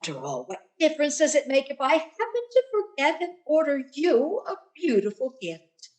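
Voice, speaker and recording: A woman's voice: high-pitched, very animated, normal speed, darkish tone. A woman playing a motherly older landlady in a radio sitcom, wheedling and guilt-tripping with martyred self-effacement.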